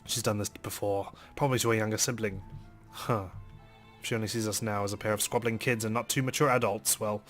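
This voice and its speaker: A man's voice: lowish voice, fairly even intonation, a little fast, fairly bright tone, soft background music. A man hosting a let's play, reading a game's narration aloud with some drama.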